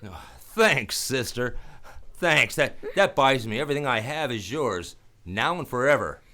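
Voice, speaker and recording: A man's voice: mid-range voice, lively intonation, unhurried, sharp tone, slight background noise. A man playing a wry, world-weary private detective in a noir radio play, terse and sardonic. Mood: wry relief. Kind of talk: radio noir acting with first-person narration. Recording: radio play over guitar music.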